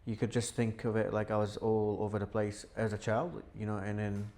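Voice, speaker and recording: A young man who grew up in Syria, a podcast guest telling his life story in a relaxed, chatty way with frequent fillers. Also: lowish voice, fairly quick, gravelly. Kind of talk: casual podcast interview. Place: indoor podcast setup.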